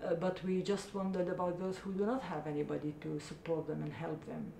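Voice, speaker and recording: Low, gravelly woman in an echoey room. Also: level tone, brisk, dark tone. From Iraq. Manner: hesitant, many uh fillers, earnest. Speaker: charity founder. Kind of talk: interview answers. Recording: echoey room with faint rumble.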